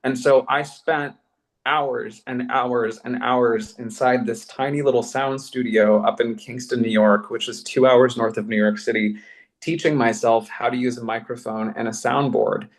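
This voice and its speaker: An American man with a medium-pitched voice talks as an artist reflecting on his work. He is earnest and articulate, choosing slightly lofty words in a conversational panel setting. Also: steady tone, moderate pace. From Colorado, United States. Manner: earnest, articulate, elevated wording. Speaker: podcast creator and artist.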